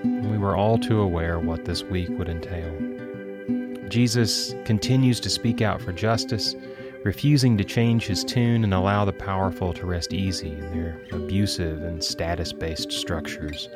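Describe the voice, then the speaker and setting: A man narrates a guided devotional in a low voice. His delivery is gentle, measured and reflective, with plucked guitar music behind him. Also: steady tone. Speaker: podcast host leading guided prayer and scripture contemplation. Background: acoustic guitar music.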